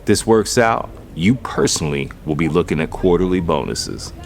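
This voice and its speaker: An actor in an audio drama playing a shrewd, domineering gang boss with slangy street talk and corporate airs. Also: male voice, low-pitched, expressive, normal speed, vocal fry, a little hiss or hum. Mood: calculating.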